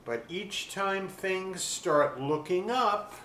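An older man reading a children's storybook aloud expressively and deliberately, pausing to describe things. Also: high-pitched, lively intonation, bright, forceful tone. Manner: deliberate, with pauses to describe the pictures. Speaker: children's book reader. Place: small furnished room at home. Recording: roomy webcam sound with faint hiss.

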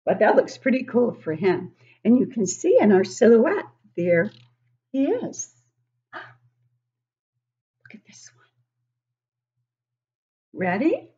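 A woman in her sixties reading a picture book aloud to young children, expressive and exaggerated, often putting questions to the listeners. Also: fairly high pitch, slow pace, pauses often, highs cut off. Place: living room at home, indoors.

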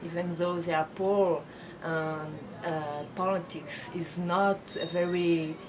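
A woman's voice: fairly low pitch, fairly even intonation, takes it slowly, a crowd in the background, poor audio. A woman in her late twenties, born in France with Brazilian and Belgian parents, answering interview questions thoughtfully with many fillers. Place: bedroom with bookshelves, indoors. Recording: clear background noise.

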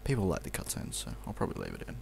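A young man with an Australian accent talks through a video game as he plays. His voice sits very low and has a lot of fry in it. He rambles in an offhand, muttered way, casual and unscripted, with the odd swear word. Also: wildly swooping pitch, very fast, a little mellow, a little hiss or hum. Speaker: let's play commentator.

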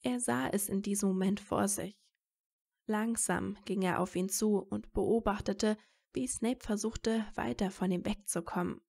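A woman's voice: mid-range voice, steady tone, unhurried, vocal fry, a little mellow. A woman reading scripted story narration as the narrator of an audio drama.

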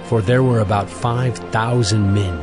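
A man's voice: on the low side, steady tone, a little slow. A man narrating scripture from a script as part of a dramatized reading. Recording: music bed behind voice.

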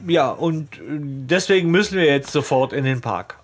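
A man retelling a story in casual discussion, halting and rephrasing as he goes as a podcast host. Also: on the high side, expressive.

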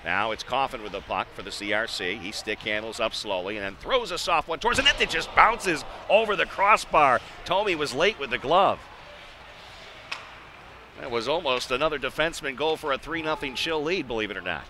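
A man's voice: medium pitch, lively intonation, a little fast, sharp tone. Male hockey play-by-play announcer, noisy arena. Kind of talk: live sports radio commentary. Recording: noisy live ice arena ambience.

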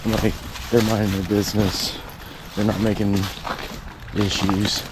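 Low-voiced man vlogging outdoors, noisy phone audio. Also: flat delivery, unhurried. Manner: rambling, casual, swears, talks to camera while walking a dog. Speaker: vlogger exploring places. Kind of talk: casual walking vlog. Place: woods outdoors.

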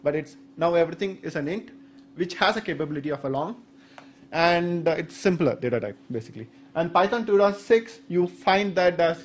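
A man in his thirties with an Indian accent, whose first language is Saurashtra, gives a technical talk into a stand microphone in a conference room. His voice sits a little high, and he explains slowly with frequent fillers and restarts. A faint hum of room noise runs underneath. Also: lively intonation. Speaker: conference speaker. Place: medium indoor conference room. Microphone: stand microphone at the podium.

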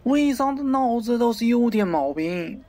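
A man's voice: extremely high pitch, lively intonation, a little slow, warm tone. A man playing a pompous middle-aged wholesale market director in a parody radio drama, avuncular and lecturing, crude yet fond of poetry. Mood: scornful, blustering. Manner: avuncular, lecturing, theatrical, abruptly swearing.

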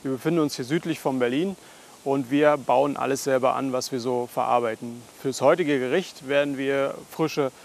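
A young man, a chef, calmly explaining a dish step by step with a few filler pauses, on a lapel mic. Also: mid-range voice, creaky. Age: young adult. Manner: relaxed, plain explaining with frequent filler pauses. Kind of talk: cooking demonstration. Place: garden, greenhouse and restaurant kitchen. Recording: sizzling kitchen noise behind. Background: kitchen noise and sizzling pan.

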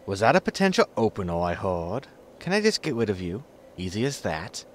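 A man playing a weary, dry-witted spaceship captain in a sci-fi comedy audio drama, deadpan in manner. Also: lowish voice, a little fast, slight background noise. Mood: exasperated.

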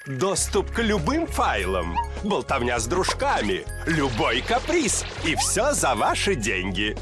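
An actor voicing a scheming, greedy male villain who pitches his product like a showman, in a game cutscene with music behind. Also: wildly swooping pitch, takes it slowly, full character voice. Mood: smug pitch. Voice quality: theatrical, salesman-like delivery. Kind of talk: Russian video game dub.

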